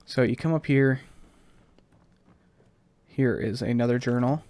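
A man's voice: medium pitch, fairly even intonation, unhurried, a little mellow, no room sound. A man with a slightly stuffed-up, scratchy voice giving casual, rambling gameplay commentary as a let's play host.

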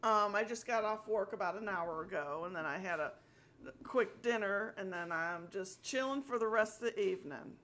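An older woman chatting casually on a call from a small home office, friendly and quick to laugh. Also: mid-range voice, a bit bright. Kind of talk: casual phone chat.

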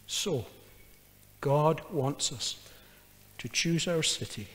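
Measured middle-aged preacher in a reverberant church. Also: male voice, a little high. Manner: emphatic preaching with gestures, rising to forceful repetition. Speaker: pastor. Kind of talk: sermon. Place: church sanctuary, standing at a pulpit. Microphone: pulpit microphone.

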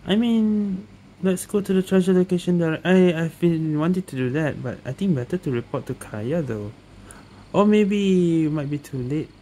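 A woman gaming streamer gives casual play-by-play in halting, muttered remarks. There is clear noise and game ambience behind her. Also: on the low side, dark tone, low-quality recording. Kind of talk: casual gameplay commentary.

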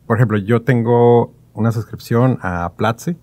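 A man, hosting a casual podcast with thoughtful, halting phrasing. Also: medium pitch, a little mellow. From Mexico. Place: small padded podcast studio. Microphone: studio mic on stand.

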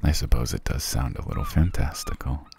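A man playing a sly, alluring male siren in an ASMR roleplay, speaking softly and coaxingly in a husky, half-whispered voice. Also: extremely low pitch, huge pitch swings, background noise, full character voice. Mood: gentle, coaxing. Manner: slow, soft, coaxing. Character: alluring male siren posing as grateful castaway, soothing, sly.

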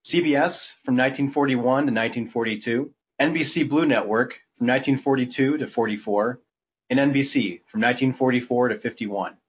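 A man's voice: mid-range voice, a little fast, husky, dull audio. A man co-hosting a podcast, reading scripted informational narration. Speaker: podcast co-host.

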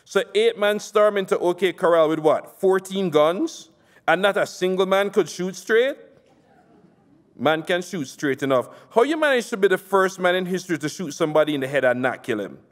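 Man voicing a raging Jamaican crime boss, scornful, rough-tongued, raised pitch, reverberant hall. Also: lively intonation, moderate pace. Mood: furious scorn. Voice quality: rough, street slang, swearing. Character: foul-mouthed male Jamaican gang boss, furious, scornful.

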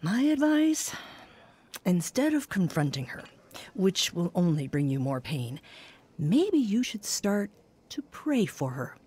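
Husky, motherly older woman. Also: fairly low pitch, big pitch swings, slow pace, full character voice. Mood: gentle, encouraging. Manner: gentle, nurturing, counselling. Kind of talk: audio drama dialogue.

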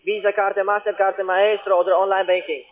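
High-voiced foreign-accented man on a phone. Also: level tone. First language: not German. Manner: halting, repetitive, insistent. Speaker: call-centre sales caller. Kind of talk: telephone sales pitch. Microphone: telephone. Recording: muffled, narrow phone line.

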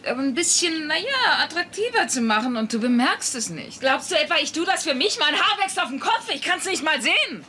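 Bossy, high-pitched sitcom mom. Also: female voice, sing-song, brisk, hardly any pauses, sharp tone, a little hiss or hum, exaggerated voice. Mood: indignant. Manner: nagging, sarcastic. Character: bossy, volatile working-class wife and mother. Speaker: voice actor in a German sitcom dub. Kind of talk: sitcom dub acting.

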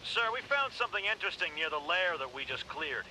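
A survivor soldier reports to his boss in short, clipped lines with a lively, bouncing pitch. The game audio carries clear background noise beneath him. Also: fast talker. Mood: urgent report. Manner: clipped military callouts.

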